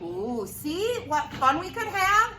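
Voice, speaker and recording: A woman teacher reading aloud to young children, expressive and prompting them with questions. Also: very high voice, slow pace, distant mic. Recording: roomy echo with clear background noise.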